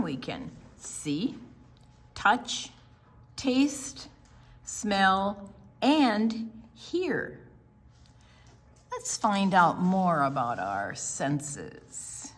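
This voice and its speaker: An older woman co-hosting a children's storytime, explaining and reading aloud deliberately, with emphasis on single words. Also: mid-range voice, sing-song, a bit bright. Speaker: children's storytime co-host and reader.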